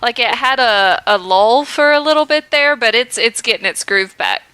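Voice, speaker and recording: Young woman podcast cohost, bright dry sound, blunt and excited. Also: on the high side, moderate pace, clear, resonant tone, no room sound. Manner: enthusiastic, laughing, blunt. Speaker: podcast co-host. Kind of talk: casual chat.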